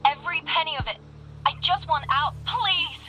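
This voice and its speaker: A frightened woman in a dramatic video game scene. Her voice is very high and bright. She pleads desperately, like someone trapped in a crooked deal. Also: moderate pace, a little hiss or hum, low-quality recording. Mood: desperate pleading.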